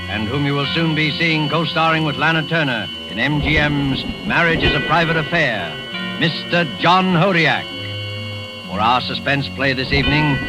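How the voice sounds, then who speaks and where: A man announcing a 1940s radio drama in a solemn, measured way. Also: fairly high pitch, low-quality recording. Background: music.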